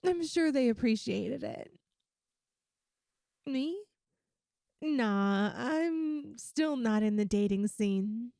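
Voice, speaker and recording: A woman's voice: fairly high pitch, animated, drawn-out words, pauses often, warm tone, dry recording, studio quality, exaggerated voice. A woman voicing a playful, affectionate young lamia best friend in an intimate, chatty ASMR roleplay. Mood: playful, needy. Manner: teasing, chatty, giggly. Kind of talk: ASMR roleplay, one-sided intimate conversation.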